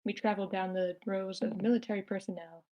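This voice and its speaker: A woman's voice: mid-range voice, fairly even intonation, a little fast, mellow, lo-fi sound. A woman reading out scripted stage directions as the narrator at a screenplay table read.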